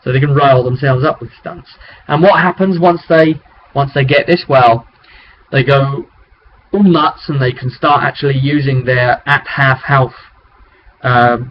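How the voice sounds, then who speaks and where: A man talking casually as a game master, recapping a tabletop roleplay session in a rambling, animated storytelling way. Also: mid-range voice. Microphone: webcam microphone. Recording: dull webcam audio with clear background noise.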